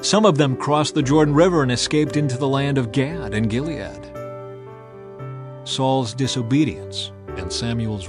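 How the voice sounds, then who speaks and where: A man narrating scripture in a measured, grave storytelling manner. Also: mid-range voice.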